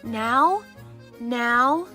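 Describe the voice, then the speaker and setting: A woman's voice: fairly high pitch, animated, very slow, pushed and bright, faint music behind, voice pushed up, full character voice. A woman voicing an impatient, demanding little boy frog in a children's storybook, whiny and insistent.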